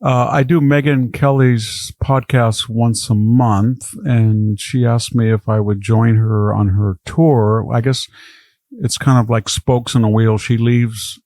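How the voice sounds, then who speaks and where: A man's voice: medium pitch, steady tone, takes it slowly, a little mellow, no echo, studio quality. An older man, a historian, talking casually in a podcast, wandering from one anecdote to the next. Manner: rambling, anecdotal storytelling. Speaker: historian guest.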